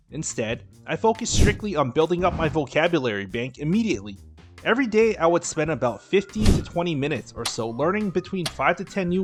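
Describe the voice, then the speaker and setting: Man narrating advice with animated pitch. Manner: steady, instructive, advice-giving delivery. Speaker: dating and language vlogger. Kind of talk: scripted voice-over narration.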